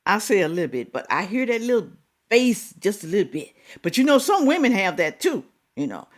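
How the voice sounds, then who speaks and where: A woman hosting a reaction video, talking casually, scolding and animated with drawn-out exclamations. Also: medium pitch.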